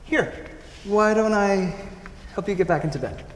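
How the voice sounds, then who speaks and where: An actor playing an awkward, caring young visitor in a staged musical reading, halting and polite. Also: male voice, high voice, expressive. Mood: concerned. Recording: echoey auditorium, noisy, far from off.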